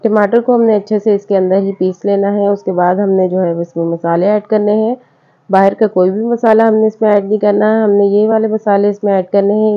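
A woman narrates a cooking video over the footage, friendly and patient. Her mid-range voice runs through each step in a chatty, repetitive home-cook way. The recording sounds a little dull and lo-fi. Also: mid-range voice. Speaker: cooking channel host. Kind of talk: voice-over recipe instructions.

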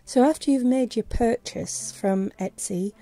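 A woman's voice: on the high side, measured pace, dark tone, soft background music. A woman who is losing her voice, talking casually and hesitantly through a tutorial voice-over, with many fillers and restarts. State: losing her voice, sore throat. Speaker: vlogger. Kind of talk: casual screen-recorded tutorial. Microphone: voice-over on screen recording.

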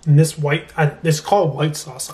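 A man in his twenties talks casually to the camera as a food vlogger. His voice sits a little high for a man. The handheld recording has clear background noise. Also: steady tone, moderate pace, darkish tone. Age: 20s. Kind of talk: casual chat and food review. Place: restaurant, home kitchen, car, bedroom. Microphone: camera at arm's length. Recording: noisy handheld camera audio.

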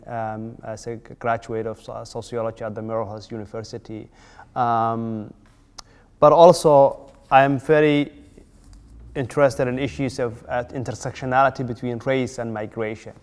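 A man in his forties, from Somalia, facilitating a community workshop in a measured way, with many fillers and restarts. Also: mid-range voice, clean tone, big-room sound. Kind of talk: workshop introduction. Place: community room, indoors.

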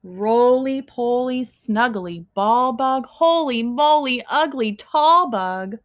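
Young woman, higher-pitched voice, very unhurried pace, expressive picture-book read-aloud, emphatic short phrases, recorded at home. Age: young adult. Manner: deliberate, emphatic phrasing with pauses between short rhymes. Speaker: teacher reading a picture book to children. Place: small living room at home, indoors.

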